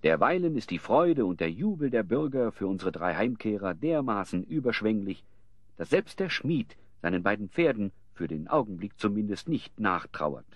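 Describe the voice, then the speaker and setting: A man playing the storyteller of a children's audio drama, narrating in a measured, expressive way with a husky voice. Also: fairly low pitch, no room sound, muffled recording. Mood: storytelling.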